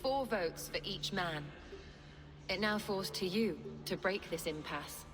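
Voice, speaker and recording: A woman playing a composed, pious abbess in a video game, speaking in a measured, formal manner. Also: medium pitch, lightly acted. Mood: solemn, formal. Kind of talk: video game cutscene acting.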